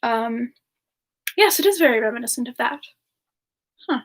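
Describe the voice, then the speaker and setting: Woman playing a high-voiced, gentle demon lady. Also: animated, normal speed, breathy edge. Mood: gentle, chatty. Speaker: streamer answering viewer questions in character. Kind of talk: semi-ASMR live chat roleplay.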